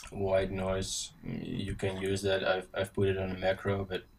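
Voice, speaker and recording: Dutch man with a low, casual voice. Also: completely flat delivery, distant mic. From Netherlands. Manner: casual, halting, many ums and restarts. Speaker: synth tutorial presenter. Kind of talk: screen-recorded software tutorial. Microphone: voice-over on screen recording.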